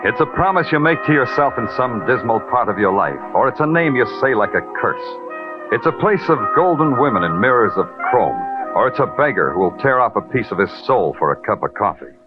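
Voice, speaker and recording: An actor playing a hard-boiled New York police detective, terse, moody and poetic, in 1940s radio noir style. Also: male voice, medium pitch, sing-song, fairly quick, over music, natural voice. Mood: brooding. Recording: dull old radio recording.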